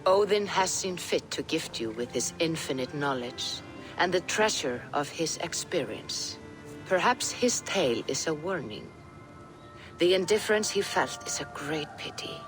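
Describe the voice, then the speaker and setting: A woman playing a wise, mystical Norse seeress in a video game, gently probing and counselling in formal, deliberate speech. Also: low voice, expressive. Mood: warning. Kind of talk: dramatic video game cutscene acting. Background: music.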